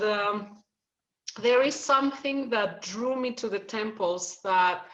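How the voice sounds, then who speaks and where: A woman's voice: mid-range voice, fairly bright tone, rough recording. A middle-aged woman from Greece, a guest in an interview, answering at length in a thoughtful way with many fillers.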